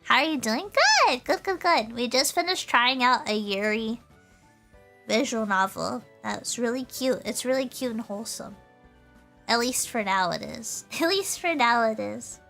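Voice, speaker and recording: A woman's voice: a little high, animated, a little slow, gravelly, sharp tone, faint music behind. A woman livestreamer chatting casually to her viewers in a bubbly, giggly way, often repeating her phrases. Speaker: VTuber livestreamer.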